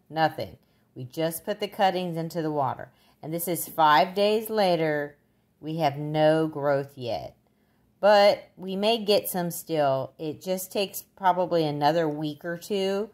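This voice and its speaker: Low-pitched older woman, folksy how-to. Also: a little mellow. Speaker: gardening demonstrator. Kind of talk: casual how-to narration.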